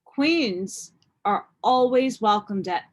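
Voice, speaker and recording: A woman voicing a kind, encouraging mother of a young girl in a gentle manner for a children's storybook. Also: on the high side, animated, takes it slowly. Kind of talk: children's storybook read-aloud.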